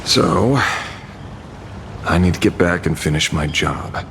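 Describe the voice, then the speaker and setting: A man acting a dry, confident, businesslike mercenary in a video game, speaking deliberately. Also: low voice, vocal fry, a bit bright, a little hiss or hum, heavily acted. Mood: dry, resolute.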